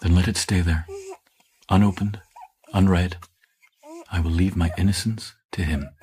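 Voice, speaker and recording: An actor playing a tender, remorseful Victorian husband in a radio drama, speaking earnestly and hesitantly. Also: male voice, deep voice, fairly even intonation, a little slow, darkish tone, slight background noise. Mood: resolved. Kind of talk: period radio drama acting.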